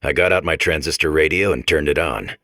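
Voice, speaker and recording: Very deep, gravelly deadpan detective robot. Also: male voice, a little fast, no room sound, studio quality, exaggerated voice. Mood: deadpan, world-weary. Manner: deadpan clipped noir narration. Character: boring hard-boiled detective robot core, world-weary, dull. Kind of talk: parody of 1940s noir detective narration.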